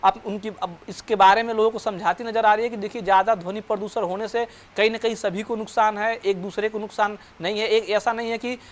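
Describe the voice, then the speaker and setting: पुरुष समाचार रिपोर्टर, अटक-अटक कर बोलता हुआ. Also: male voice, sits high, steady tone. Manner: halting, repeats and corrects words. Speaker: news reporter. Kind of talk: live news report, unscripted explaining.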